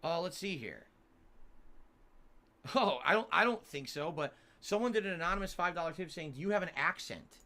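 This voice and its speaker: A man's voice: fairly high pitch, expressive, normal speed, pushed and bright. A man from Connecticut with an American accent, hosting a casual livestream and chatting through viewer messages. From Connecticut, United States. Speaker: livestream host. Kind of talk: casual livestream chat, answering tips.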